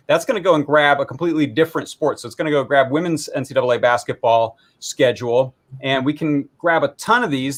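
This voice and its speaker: A man giving a casual, informal live demo, explaining technical details in a rambling, self-deprecating way. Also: mid-range voice. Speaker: maker presenting a hobby electronics project.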